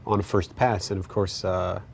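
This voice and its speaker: A man's voice: low voice, little pitch movement, normal speed, creaky, a little hiss or hum. A man speaking as a writer in a behind-the-scenes interview.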